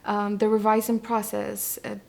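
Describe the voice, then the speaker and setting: A young woman from Iraq, a poet and translator with a Kurdish accent, answering interview questions in a reflective way with many pauses and restarts. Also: mid-range voice, completely flat delivery, gravelly, a little mellow. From Iraq, Kurdish region.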